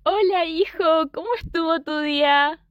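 Woman acting as self-pitying mom, very high voice, nagging, dry recording, radio drama. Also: unhurried, dry recording, lo-fi sound. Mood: greeting. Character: nagging, self-pitying middle-aged mother.

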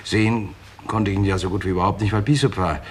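An actor playing a talkative, self-deprecating writer with a hesitant, drily ironic manner in a 1960s radio crime play. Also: male voice, fairly low pitch, steady tone, fast talker, natural voice. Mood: earnest. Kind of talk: 1960s German radio crime drama acting. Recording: 1960s radio recording, faint noise.

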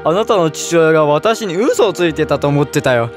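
A greedy king from a storybook voiced by a man who gives orders in an imperious tone. His voice sits a little high. Faint music runs beneath it. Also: expressive, normal speed, lightly acted. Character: greedy commanding adult male king. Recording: background music.

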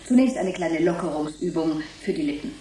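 A woman's voice: sits low, animated, a little fast, mellow. An actress playing a wry, didactic woman who coaches androids in speech, in a science fiction radio play. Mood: brisk, instructive. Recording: echoey, clear background noise.

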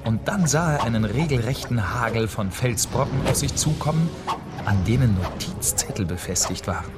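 A man's voice: medium pitch, lively intonation, moderate pace. A man narrating a scripted fairy tale as the storyteller of a radio play. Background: music.

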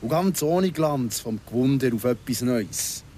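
A man's voice: medium pitch, expressive, unhurried, mellow, a little hiss or hum, lightly acted. An actor playing a needling, falsely courteous ex-convict in his thirties in a radio drama, speaking with a Swiss German accent, mock-polite and insistent. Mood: agitated, insistent. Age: 30s.

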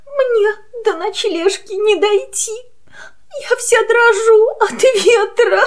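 A woman's voice: extremely high pitch, slow pace. A woman playing a homeless, pleading aunt cat in a children's audio play, lamenting in theatrical verse. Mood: tearful pleading. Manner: plaintive, lamenting.

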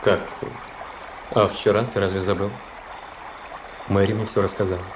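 An earnest, touchy young cadet played by a man, lovesick and self-important. His low voice rings in a very echoey space, with clear watery noise behind it in a radio drama. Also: flat delivery, moderate pace, lightly acted. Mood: solemn, grateful. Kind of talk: radio play acting.

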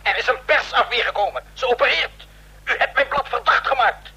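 A blustering male newspaper owner in despair. His voice is extremely high, forceful and raspy, sputtering out accusations in full radio drama style. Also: brisk, exaggerated voice, rough recording. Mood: indignant.

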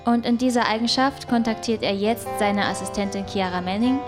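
A woman's voice: mid-range voice, fairly quick, soft background music, lightly acted. An actress playing an inquisitive woman reporter in a radio drama documentary. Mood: probing, composed.

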